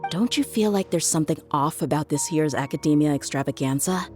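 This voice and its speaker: Woman playing a low-voiced, tough, blunt mercenary. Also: a little fast, mellow, soft background music, lightly acted. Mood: suspicious. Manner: blunt, casual. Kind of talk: anime game voice acting.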